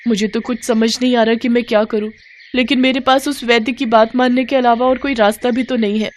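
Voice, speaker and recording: A woman's voice: a little high, steady tone, fairly quick, voice pushed up, close to an everyday voice. A woman voicing a vain young village woman in a storybook tale, fretful and complaining, with music behind. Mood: worried.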